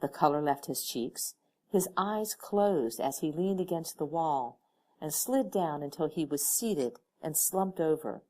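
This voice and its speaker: Casting: female audiobook reader, low pitch, lively range, expressive storytelling. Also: measured pace. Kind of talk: expressive scripted story narration.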